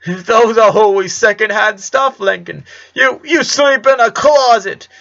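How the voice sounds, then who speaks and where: A man's voice: high-pitched, a little slow, gravelly, a bit bright, pitched above the performer's own voice, exaggerated voice. A man voicing an emotional, pained father who pleads and breaks into a strained, cracking voice. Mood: anguished. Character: emotional working-class father, middle-aged man. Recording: dull lo-fi recording.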